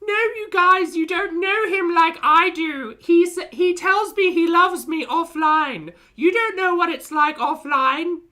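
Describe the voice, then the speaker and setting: A woman's voice: extremely high pitch, slow, few pauses, sharp tone, voice pushed up, heavily acted. A woman voicing an indignant, self-righteous female vlogger in a declamatory, mock dramatic reading. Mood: pleading.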